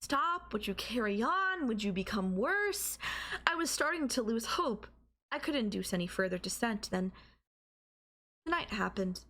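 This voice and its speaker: A woman playing a doting, obsessive and calmly manipulative girlfriend in an intimate ASMR audio roleplay, coaxing and softly teasing. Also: on the high side, unhurried, exaggerated voice. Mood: breathless excitement. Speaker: voice actor.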